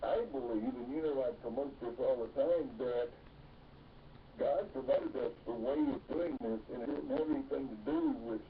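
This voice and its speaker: A man's voice: medium pitch, moderate pace. A man calling into a talk radio show as a guest, speaking in a preachy, earnest way with long winding sentences. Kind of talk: talk radio phone-in discussion. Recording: tinny distant phone line with faint hiss.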